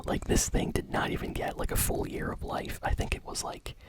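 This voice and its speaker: A woman hosting a casual gaming news show in ASMR style, whispering in an enthusiastic, conversational, unscripted way. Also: fairly quick. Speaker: host of a gaming news channel.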